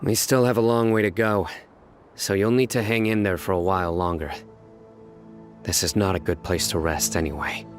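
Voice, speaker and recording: An actor playing a stern, vigilant enforcer in a video game, deadpan, measured and formal. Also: male voice, sits low, soft background music, close to an everyday voice. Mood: reassuring. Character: stern, vigilant male desert enforcer, grave and composed. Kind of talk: video game character acting.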